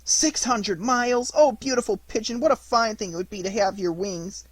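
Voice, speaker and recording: A woman's voice: normal speed, husky, no room sound. Pinocchio, a woman doing a tearful wooden puppet boy. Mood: eager. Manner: high wailing sobbing delivery.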